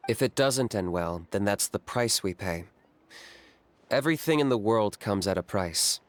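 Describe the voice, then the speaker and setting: An actor playing a cool-headed young male hunter for hire in a video game, calm and matter-of-fact. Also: lowish voice, normal speed, dry recording, close to an everyday voice. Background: game music.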